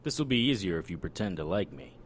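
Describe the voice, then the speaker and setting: An actor playing a serious, plain-spoken 1930s private detective in a noir audio drama. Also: male voice, sits low, big pitch swings, fast, a little hiss or hum. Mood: polite, firm. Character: earnest, dutiful 1930s private detective, a man. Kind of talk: noir audio drama acting and first-person narration. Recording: music behind the voice.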